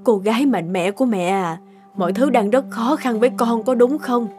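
A woman voicing a gentle, encouraging cartoon mother in a soothing, affectionate, expressive manner, with music behind her. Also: a little high, normal speed, natural voice. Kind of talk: cartoon dubbing.

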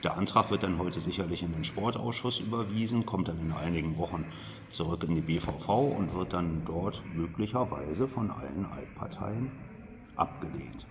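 A man's voice: deep voice, moderate pace. A man giving a political update to camera as a local politician. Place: large glass-walled building lobby, indoors. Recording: noisy, ambient sound of a lobby.

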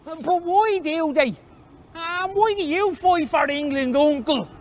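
A man's voice: very high voice, takes it slowly, slight background noise, pitched above the performer's own voice. A man voicing an inquisitive, persistent young Irish boy with an eager, questioning manner, in a story reading. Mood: insistent, puzzled.

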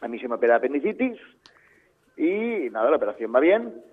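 A man's voice: medium pitch, sing-song, brisk, slightly rough. A man speaking politely but firmly and formally in a phone conversation, over a narrow phone line. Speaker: prank call victim.

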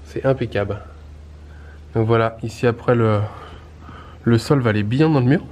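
A man's voice: medium pitch, normal speed, darkish tone, a little hiss or hum. A man in his thirties filming a casual walkthrough of his renovation work in a stone basement, explaining as he goes with many fillers and restarts. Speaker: renovation vlogger. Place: stone-walled basement under renovation, indoors. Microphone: handheld camera, close to face.

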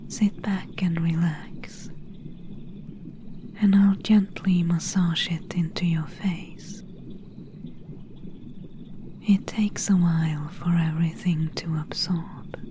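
A woman playing a gentle, soothing swamp witch in a soft-spoken, whispery ASMR roleplay. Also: fairly low pitch, steady tone, a little hiss or hum. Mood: soothing, tender. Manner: slow, hushed, whispery and soothing.

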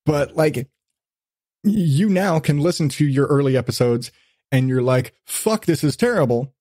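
Higher-voiced man, casual and sweary podcaster. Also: unhurried, dry recording, studio quality. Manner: profane, animated storytelling with acted-out asides. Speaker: podcast host.

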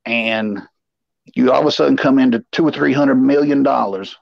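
Man, forties, gravelly and husky, rambling profane storyteller, dull dry livestream sound. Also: mid-range voice, normal speed, dry recording. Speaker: show co-host telling stories. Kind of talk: casual anecdotal storytelling. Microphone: remote livestream call. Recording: dull, muffled online stream audio.

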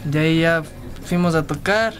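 A young man from Ecuador tells a story about his band in a music studio. His voice is slightly high and he restarts often. Faint music sits behind him. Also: fairly bright tone. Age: 20s. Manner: chatty, halting restarts. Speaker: band guitarist. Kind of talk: casual interview storytelling.

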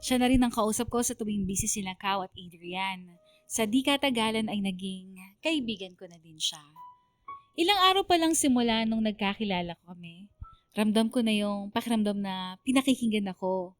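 A woman reads as a reflective young woman telling her story in a radio drama. Her medium-pitched voice is conversational and sincere, with faint music underneath. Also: expressive, moderate pace, natural voice. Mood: fond, reflective. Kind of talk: scripted first-person radio drama narration.

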